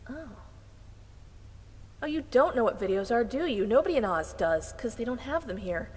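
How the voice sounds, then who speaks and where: An outspoken young girl from Kansas, earnest and challenging. Her voice is high and very expressive, in a fantasy audio drama with long reverb and music underneath. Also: normal speed, breathy edge. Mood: dawning realisation. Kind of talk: full-cast fantasy audio drama acting.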